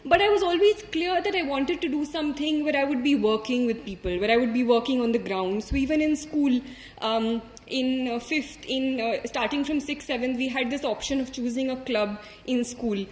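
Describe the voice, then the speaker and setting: Young Indian woman, native Hindi speaker, high voice, interview answer with pauses and fillers. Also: reverberant. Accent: Indian English. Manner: thoughtful, with frequent hesitations and fillers. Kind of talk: candid personal story.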